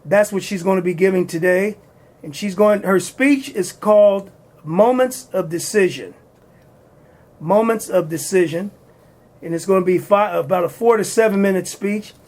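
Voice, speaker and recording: High-pitched male host, halting intro delivery. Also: darkish tone. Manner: halting, with restarts. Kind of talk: introducing a guest.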